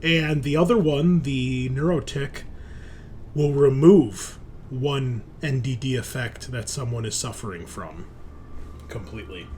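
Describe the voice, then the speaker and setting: A man's voice: mid-range voice, measured pace, a little mellow. Man game-mastering over background noise. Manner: conversational, descriptive, self-correcting as he goes. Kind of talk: improvised narration of a tabletop sci-fi game.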